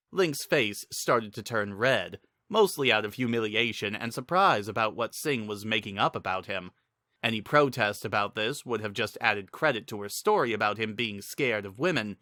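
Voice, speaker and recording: A man delivers a dramatic reading of fantasy fiction as its narrator. His voice is bright and forward, captured in a dry recording at a middle pitch. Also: dry recording.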